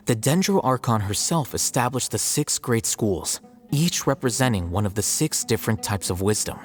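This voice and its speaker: Guy doing aloof deadpan scribe for a video game, dry and formal, faint music behind. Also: mid-range voice, lightly acted. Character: aloof, unambitious male academy scribe, matter-of-fact and dry. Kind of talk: scripted video game dialogue.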